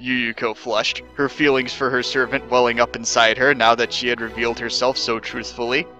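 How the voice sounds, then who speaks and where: Bright-voiced man giving a theatrical reading. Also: mid-range voice, flat delivery, moderate pace, soft background music, rough recording. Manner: theatrical, emphatic delivery. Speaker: narrator. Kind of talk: dramatic reading of fan fiction.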